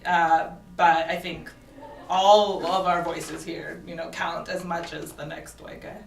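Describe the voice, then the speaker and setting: A woman's voice: lowish voice, flat delivery, takes it slowly, vocal fry, reverberant, distant mic, slight background noise, poor audio. A woman raised in Japan, now a comedian and actor, chatting playfully as a guest in a studio interview and laughing often. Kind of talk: casual interview chat.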